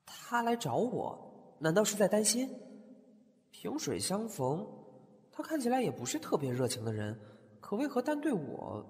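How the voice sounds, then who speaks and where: A man's voice: high voice, huge pitch swings, normal speed, a little mellow, slightly rough, heavily acted. A man playing a talkative, curious young antique-shop owner who often muses aloud to himself. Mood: musing. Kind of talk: fan audio drama acting.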